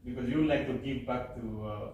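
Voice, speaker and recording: A young man from Guinea hosts a relaxed chat with fellow students, friendly and full of fillers and restarts. He sits well back from the mic in a very echoey room, with faint background noise. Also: mid-range voice, a little fast, distant mic, highs cut off. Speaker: host. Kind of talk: casual interview chat.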